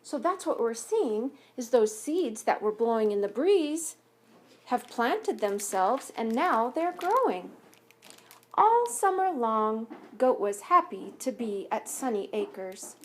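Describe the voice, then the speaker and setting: A woman reading a picture book aloud to young pupils as a teacher, expressively, pausing to ask questions and explain things simply. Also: fairly high pitch, very animated. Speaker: elementary school teacher reading aloud to students.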